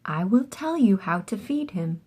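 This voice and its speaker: A woman voicing a calm male pet shop keeper in a storybook, giving measured, instructive warnings. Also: mid-range voice, slightly rough.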